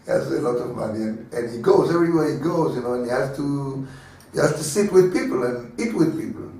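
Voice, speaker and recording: A rabbi teaching a Torah class, telling stories and acting out the voices of the people in them. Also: male voice, mid-range voice, lively intonation, brisk, dark tone. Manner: storytelling, acting out voices in dialogue. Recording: very echoey room, mic far away.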